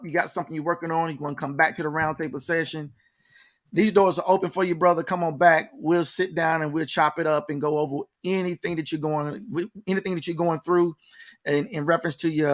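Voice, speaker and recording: Male interview host, higher-pitched voice, informal and conversational, repeats phrases and addresses the audience directly. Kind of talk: casual conversational interview hosting.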